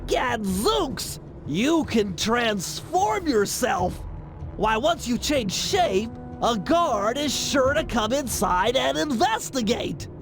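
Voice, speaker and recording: A man's voice: very high-pitched, sing-song, slow, exaggerated voice. A man voicing a brainy, nerdy cartoon turtle from a video game, giving precise, wordy and eager instructions. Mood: polite, excited. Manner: precise, verbose, eager briefing. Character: nerdy, brainy, high-voiced cartoon turtle, the gang's planner. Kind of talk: animated video game acting. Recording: music behind the voice.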